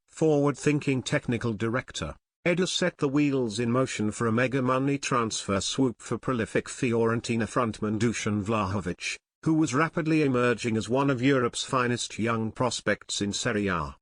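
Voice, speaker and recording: A man's voice: medium pitch, a bit bright, dry recording, studio quality. A man narrating scripted football news in a formal, journalistic read-aloud manner.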